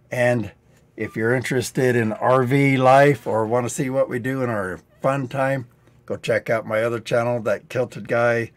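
A man in his sixties hosting a live DIY training stream, going a bit hoarse, explaining tricks in a dry-humored, rambling way on a headset mic indoors. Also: mid-range voice. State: getting hoarse. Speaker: DIY channel host. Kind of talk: live-stream teaching chat. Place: indoor studio set.

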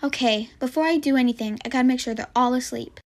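A woman's voice: high voice, fast, a little mellow, no room sound. A woman voicing a bossy, falsely sweet camp counselor with murderous schemes in a roleplay story. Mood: scheming.

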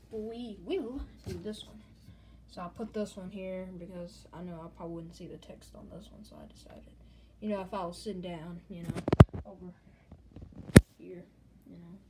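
A woman hosting an informal show-and-tell vlog, talking haltingly into a handheld device in a small room at home. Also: on the low side. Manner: informal, halting, repeats stock phrases. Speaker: host of a gadget collection video channel. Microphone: handheld phone or camera, held close. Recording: noisy handheld home recording with clicks.

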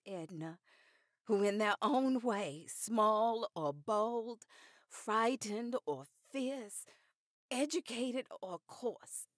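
An actress playing a dignified, sentimental older woman in a radio drama, speaking deliberately. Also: fairly high pitch, dry recording, clean studio sound. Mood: solemn, gracious.

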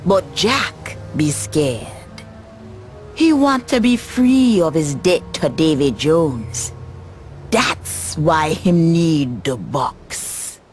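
A woman's voice: deep voice, lively intonation, very slow, faint music behind, full character voice. An actress playing an eerie, knowing sea witch in a video game, with a Caribbean accent and a mysterious storyteller's drawl. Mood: intrigued, knowing. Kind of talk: video game cutscene acting.

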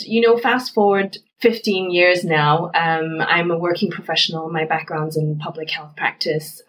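A woman's voice: fairly low pitch, distant mic, low-quality recording. A woman in her thirties, raised in West Africa, an NGO director answering interview questions earnestly and reflectively. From grew up in Ghana, West Africa. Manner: earnest, reflective, with frequent "you know". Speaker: executive director of a youth NGO.

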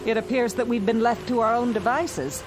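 An actress playing a composed, curious schoolteacher in a radio drama. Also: female voice, a little high, steady tone, moderate pace, over music, lightly acted. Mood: wry. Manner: wry and composed.

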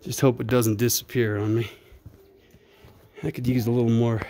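A man's voice: mid-range voice, little pitch movement, normal speed, mellow, a little hiss or hum. A man narrating a casual off-the-cuff vlog, rambling and thinking aloud in a folksy way. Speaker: vlogger exploring a cave. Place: cave trail.

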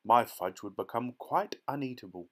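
A man's voice: medium pitch, normal speed, fairly bright tone, close to an everyday voice. A man voicing an eccentric, gleeful chocolate maker in a children's storybook, breezy, emphatic and theatrically reassuring. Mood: brisk ordering.

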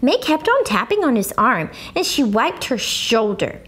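Woman in her 30s reading a kids book, slow and super animated, highish voice. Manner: animated, dramatic. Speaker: storyteller. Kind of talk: expressive children's book read-aloud. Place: furnished living room, indoors. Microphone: lapel mic.

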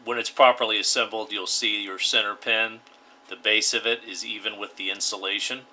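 Host, male, deep bright voice, plain step-by-step tutorial delivery, slight hiss behind. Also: fairly even intonation, moderate pace, rough recording. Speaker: radio hobby channel host. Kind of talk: DIY tutorial voice-over.

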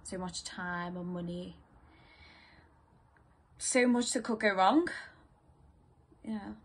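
A woman performing a dramatic stage monologue as a distraught, bitter, grieving partner in a couple, husky, halting and raw. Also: mid-range voice. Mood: bitter, subdued.

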